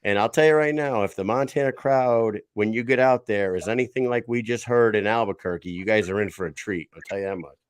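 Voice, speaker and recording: Low, dry-voiced chatty male host. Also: brisk, hardly any pauses, clear, resonant tone, no room sound. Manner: chatty, rambling, self-correcting. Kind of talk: casual chat show.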